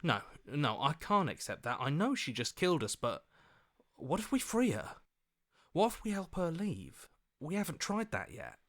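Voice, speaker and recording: A man's voice: fairly high pitch, big pitch swings, moderate pace. A man playing an earnest, hopeful hero's inner voice in a video game, questioning and pleading as he talks.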